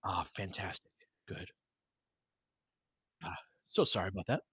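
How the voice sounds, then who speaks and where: A man's voice: deep voice, lively intonation, a little slow, sharp tone, husky, no echo, studio quality. A man giving live basketball commentary and analysis in an informal, conversational way. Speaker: play-by-play commentator and host.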